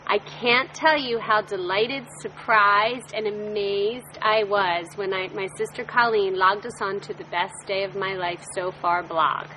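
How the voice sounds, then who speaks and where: A woman reading aloud a heartfelt email she wrote, in a measured way, on stage. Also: mid-range voice, steady tone, bright, forceful tone, slight background noise, low-quality recording. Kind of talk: reading a letter to an audience.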